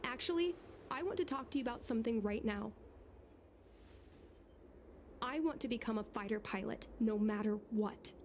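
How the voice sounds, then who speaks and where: An actress voicing an earnest young daughter in a video game, determined and affectionate. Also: female voice, on the high side, moderate pace, long pauses, darkish tone, a little hiss or hum, close to an everyday voice.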